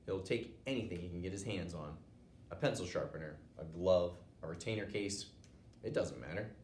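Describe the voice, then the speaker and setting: A man's voice: low voice, a little mellow. An American man narrating a children's storybook, reading aloud as a teacher would. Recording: roomy echo, noticeable background noise.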